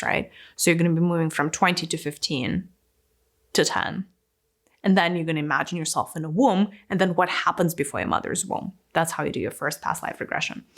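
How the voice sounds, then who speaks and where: A woman in her thirties giving a casual, animated talk to camera in a room, now and then dropping to a near-whisper for emphasis. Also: fairly low pitch. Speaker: spiritual teacher. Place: indoor room with plants and a window. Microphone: fixed camera, medium framing.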